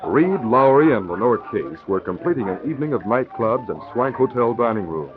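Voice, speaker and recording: A man's voice: mid-range voice, expressive, fairly quick. A man giving scripted narration for a 1940s radio drama. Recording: muffled old radio recording with music. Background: music.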